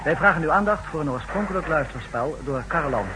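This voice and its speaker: A man's voice: on the high side, expressive, fairly quick, close to an everyday voice. A man announcing a radio play in a formal 1960s broadcast style. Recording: noisy vintage radio recording with background sound.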